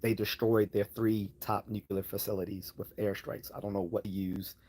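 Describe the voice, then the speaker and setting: A man hosting a relaxed livestream Q&A, his slightly low voice worn hoarse. He wanders through his answers, trailing off into fillers and starting sentences over as he reads and responds to chat. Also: moderate pace. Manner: rambling, hesitant, many fillers and restarts. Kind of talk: casual live Q&A chat.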